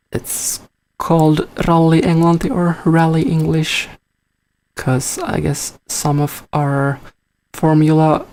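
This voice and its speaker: Finland-Swedish man, Finnish native, slow unhurried live-coding chat, halting and thinking aloud. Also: a little high, darkish tone. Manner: hesitant, thinks aloud with many fillers. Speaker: live coder answering chat. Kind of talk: casual thinking-aloud commentary.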